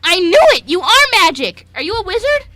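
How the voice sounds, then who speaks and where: A woman playing an eager, naive young male dog servant in an amateur radio play, with wide-eyed, excitable questions. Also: very high-pitched, a little fast, exaggerated voice. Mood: amazed, excited.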